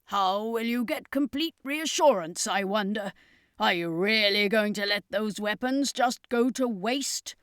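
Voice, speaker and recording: A woman voicing a shrewd, taunting male circus master with a mocking, drawn-out, emphatic delivery in a story reading. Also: medium pitch, a bit bright, no echo, clean studio sound, lightly acted. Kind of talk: audiobook character voice.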